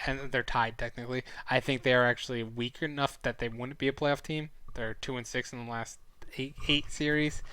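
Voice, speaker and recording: A man's voice: mid-range voice, sharp tone, clear, resonant tone, no echo. A young man hosting a casual live-stream review, chatty and rambling, his throat sore as he starts losing his voice. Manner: chatty and rambling, with frequent fillers and self-corrections. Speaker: esports analyst streaming a weekly review.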